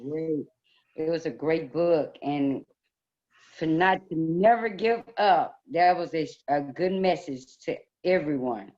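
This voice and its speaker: Low-voiced woman, muffled online audio. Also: takes it slowly, mellow. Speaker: story time host. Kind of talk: casual spoken feedback.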